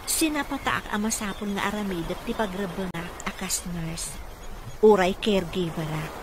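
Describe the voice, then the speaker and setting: A woman's voice: medium pitch, moderate pace, noisy background, close to an everyday voice. A woman playing a kindly, dutiful mother and caregiver in an Ilocano radio comedy-drama, speaking in a respectful, motherly way. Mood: reassuring, earnest.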